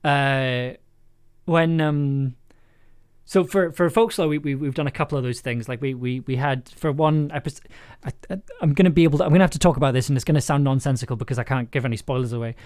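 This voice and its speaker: A man chatting casually on a livestream as he works, enthusiastic but halting, repeating words and letting thoughts trail off. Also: mid-range voice, darkish tone, clear, resonant tone. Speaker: audio drama maker livestreaming an editing session. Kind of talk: casual livestream chat while working.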